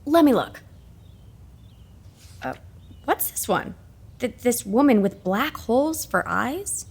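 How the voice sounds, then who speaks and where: A woman's voice: a little high, animated, takes it slowly, creaky, breathy edge, heavily acted. A woman playing a curious, well-meaning young companion in an audio drama, chirpy, teasing and inquisitive.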